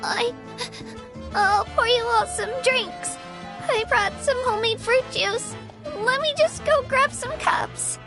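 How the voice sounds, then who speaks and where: A woman's voice: extremely high pitch, takes it slowly, heavily acted. A woman voicing an earnest, emotional young girl adventurer, determined but easily moved, in a video game. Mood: brightly reassuring. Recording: music behind the voice. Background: music.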